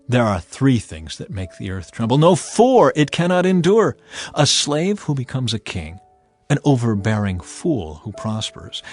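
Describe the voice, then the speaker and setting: A man giving a dramatized, measured and emphatic scripture narration as an audio Bible reader. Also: medium pitch. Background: background music.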